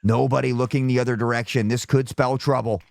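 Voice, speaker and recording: A male esports caster with a slightly sore throat, calling play-by-play and erupting into loud shouts on big plays. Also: medium pitch, steady tone, fairly quick, vocal fry.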